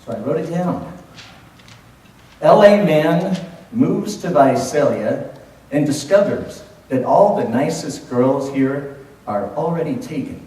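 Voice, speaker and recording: A man of fifty with a Californian American accent tells a humorous story as a contest speech, theatrically, with dramatic pauses and emphasis. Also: on the high side, lively intonation, mellow. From Los Angeles, United States. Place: indoor room at a speech contest, audience present. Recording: echoey room from far off, faint noise.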